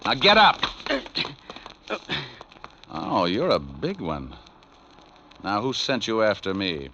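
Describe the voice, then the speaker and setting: A man's voice: lowish voice, wildly swooping pitch, slow, background noise, exaggerated voice. An American man playing a cocky, hard-boiled private detective in 1940s radio noir style, glib and sardonic. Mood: tough, sardonic. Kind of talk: 1940s radio noir acting with first-person narration. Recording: dull old radio recording with music.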